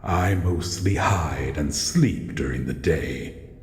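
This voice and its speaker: Guy doing a lonely shadow monster for audio rp, really slow n polite, guarded, echoey with a bit of noise. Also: rumbling low, a little mellow. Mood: calm, guarded. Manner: slow, formal, measured, calls listener human. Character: solitary male shadow monster, guarded but courteous, lonely. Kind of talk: scripted audio roleplay addressed to the listener. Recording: echoey, long reverb, faint noise.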